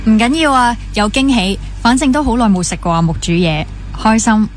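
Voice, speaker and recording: A woman's voice: a little high, expressive, brisk, a little hiss or hum, close to an everyday voice. A young woman with a Hong Kong Cantonese accent playing a gossipy, pampered only child obsessed with dating in a naturalistic radio drama. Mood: breezy chatty. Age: young adult. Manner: chatty, gossipy, mixes in English words.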